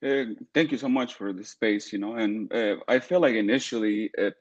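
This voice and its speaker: A young man speaks in a very low register, choosing his words with care and leaning on "uh" and "you know" as he thinks. The sound is dry and a little muffled, with no room around it. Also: no echo. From El Salvador. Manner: earnest and deliberate, many "uh" and "you know" fillers. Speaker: guest, political activist. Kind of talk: podcast interview.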